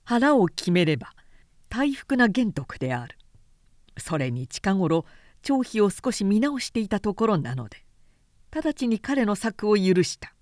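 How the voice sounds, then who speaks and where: A woman narrator reading a literary work aloud as an expressive storyteller. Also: mid-range voice, unhurried, dry recording, studio quality. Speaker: narrator, reader.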